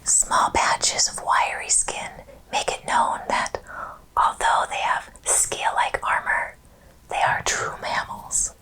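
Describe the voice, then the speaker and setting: Woman, whispered voice, ASMR, slow deliberate delivery, educational animal host. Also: sharp tone, poor audio. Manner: whispers slowly and deliberately through most of the talk.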